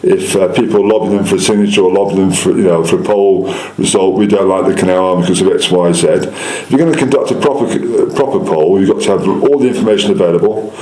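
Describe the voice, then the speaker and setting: A man's voice: sits low, fast, few pauses, a little mellow. An English man speaking as a local councillor in an interview, insistently explaining and repeating his points to persuade. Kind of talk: interview answers, political persuasion. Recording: echoey room.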